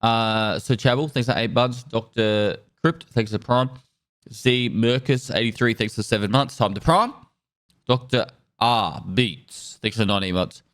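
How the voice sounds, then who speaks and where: A man with a stuffy nose from a cold, chatting casually on a live stream and thanking subscribers in a rambling, relaxed way. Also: mid-range voice, measured pace.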